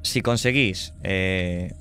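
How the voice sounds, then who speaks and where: A man running a tabletop horror game as game master, laying out options in a measured way and checking in often. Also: sits low, pushed and bright, smooth, soft background music.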